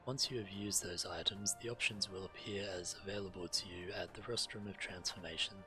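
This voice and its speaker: A low-pitched male game streamer reads quest text aloud with a measured, deliberate delivery. Fantasy game music plays clearly behind him. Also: fairly even intonation. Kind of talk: reading quest text aloud while playing.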